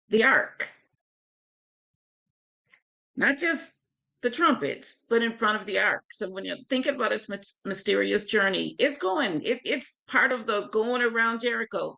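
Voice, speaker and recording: A woman with a husky, hoarse voice hosting a live Bible study in an informal, conversational way. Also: medium pitch, fairly bright tone. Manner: informal, conversational, greets listeners by name. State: hoarse, sore voice. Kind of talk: live Bible teaching, conversational with scripture reading.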